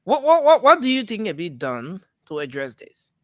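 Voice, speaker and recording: A man's voice: high-pitched, huge pitch swings, moderate pace, dry recording, studio quality. A man hosting a live call-in audio discussion. He repeats words and cuts in to steer the talk. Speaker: host.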